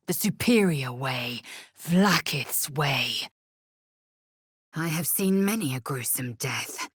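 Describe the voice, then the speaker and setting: An actress voicing a fierce, haughty young alien warrior woman in a video game, husky and breathy, with an imperious, clipped, formal manner. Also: low-pitched, dry recording, clean studio sound, full character voice.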